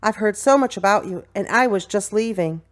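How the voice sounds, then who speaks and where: A woman's voice: mid-range voice, a little fast, natural voice. A woman playing a friendly, caring female visitor with a polite, solicitous manner in a radio drama.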